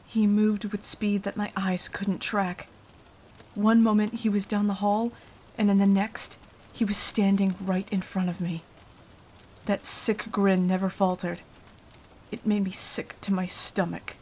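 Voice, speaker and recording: A woman's voice: medium pitch, level tone, a little hiss or hum, natural voice. A woman playing a frightened, self-sacrificing young victim, telling of a vampire attack as dramatic ASMR roleplay. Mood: haunted dread. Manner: hushed, dramatic, deliberate storytelling.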